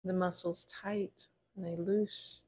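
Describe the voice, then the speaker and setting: A woman meditation teacher leading a guided body scan in a gentle, unhurried way, pausing between short phrases. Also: fairly low pitch, little pitch movement, breathy edge.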